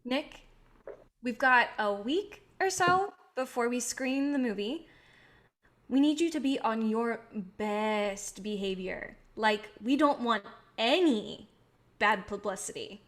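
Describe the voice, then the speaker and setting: A woman's voice: a little high, animated, takes it slowly. A woman playing an idealistic, patient filmmaker in a staged play reading, speaking in an earnest, coaxing way. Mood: placating.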